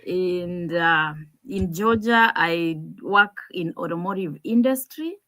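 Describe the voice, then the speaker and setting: Bright, unhurried Kenyan woman. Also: on the low side, dry recording. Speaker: co-presenter. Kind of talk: informal live webinar talk.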